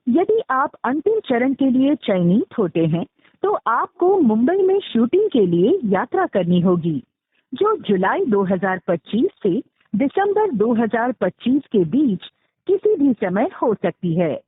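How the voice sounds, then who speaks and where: A woman's automated phone menu voice reading scripted prompts in a formal, measured, instructional manner. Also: on the high side, big pitch swings, husky. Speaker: automated phone line announcer. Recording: muffled, phone-like, roomy call playback. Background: phone call recording played back.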